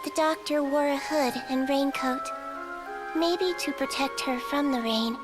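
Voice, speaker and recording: A woman voicing a gentle, polite, childlike little nurse creature in a video game, speaking softly and earnestly. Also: high voice, measured pace, over music. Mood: wistful storytelling. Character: childlike gentle female Melusine nurse, a small shapeshifter. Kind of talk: video game character acting and storybook narration.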